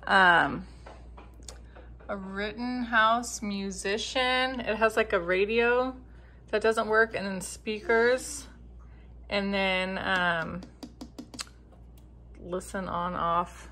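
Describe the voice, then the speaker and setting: Home-tour vlogger, American woman, thirties, medium pitch, informal and meandering, phone recording with slight room noise. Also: drawn-out words, long pauses, bright, forceful tone. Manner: informal, rambling, musing aloud. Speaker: vlogger showing features of their house. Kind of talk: casual home-tour vlog chat. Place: indoors, rooms of a house. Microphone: handheld phone at arm's length.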